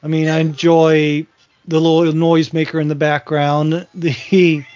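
A man's voice: fairly high pitch, level tone, measured pace, a little mellow, no echo, muffled recording. A man in his forties co-hosting a podcast, chatting casually and rambling with frequent restarts. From Virginia. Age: 40s. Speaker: podcast co-host. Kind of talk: casual chat.

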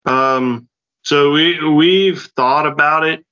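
A man's voice: fairly high pitch, takes it slowly, bright, forceful tone, no echo, muffled recording. A man in his late thirties co-hosting a podcast, speaking casually and hesitantly with many fillers. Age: late 30s. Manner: hesitant, many ums and restarts. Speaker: podcast co-host. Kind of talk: casual chat.

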